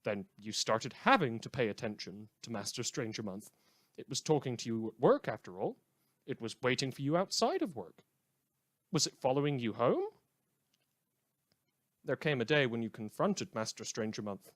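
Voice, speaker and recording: A man's voice: medium pitch, very animated, normal speed, a bit bright, no echo. A man playing a wry, opinionated community radio host in an audio drama, reading scripted news with a theatrical, dryly ironic delivery. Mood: theatrical, ominous.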